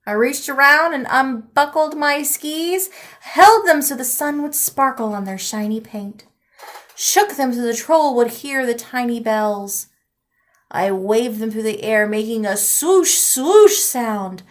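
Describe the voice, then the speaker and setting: A woman reading a picture book aloud expressively, with deliberate dramatic emphasis and pauses. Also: fairly high pitch, animated.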